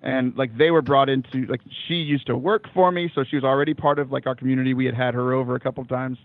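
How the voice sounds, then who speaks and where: A young man with a loud voice, talking casually as an interview guest, animated, with many fillers and restarts, laughing often. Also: mid-range voice, quick pace, no echo. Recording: dry, phone-like call line.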